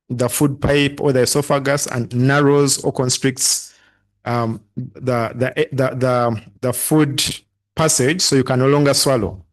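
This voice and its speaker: A man, a doctor, explaining event plans over a video call in a halting, explanatory way with fillers. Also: medium pitch, rough recording. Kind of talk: briefing on an event.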